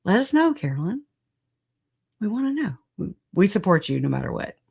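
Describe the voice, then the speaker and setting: A livestream host with a raspy voice, hoarse after a recent illness, chatting casually and laughing a lot at themselves. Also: mid-range voice, very animated, a little fast, a little mellow. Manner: laughs often, self-deprecating, chatty. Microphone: computer mic on streaming software.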